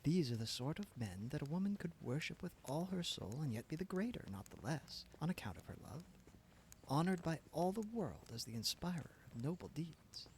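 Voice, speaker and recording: Man voicing an earnest young woman, idealistic, lofty, lightly reproving, lively rise and fall. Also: fairly high pitch, moderate pace, slight background noise, pitched above the performer's own voice, poor audio. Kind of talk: audiobook character reading.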